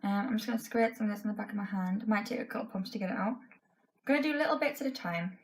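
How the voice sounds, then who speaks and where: A woman's voice: mid-range voice, fast. A young woman in her twenties with a voice that is going, chatting casually through a makeup tutorial in her bedroom, full of "um" and "like". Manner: chatty, frank, many fillers like "um" and "like". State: losing her voice. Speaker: beauty vlogger. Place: bedroom, indoors.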